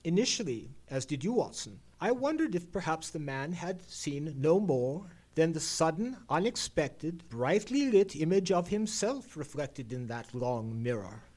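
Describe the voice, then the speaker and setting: An actor playing a knowing gentleman detective in an old-time radio play, speaking in formal, measured phrases with dramatic pauses. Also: a little high, very animated. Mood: knowing, deliberate.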